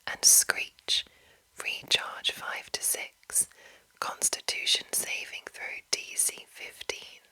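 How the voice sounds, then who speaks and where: A woman host speaking in a breathy ASMR whisper, with careful, deliberate phrasing. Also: pushed and bright, low-quality recording. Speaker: ASMR host reading a monster manual.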